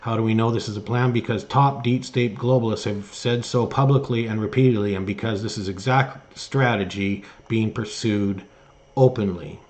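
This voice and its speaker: A man hosting an online news show, giving opinion commentary and reading articles aloud in a halting way with emphatic stress. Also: fairly low pitch, darkish tone. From Canada.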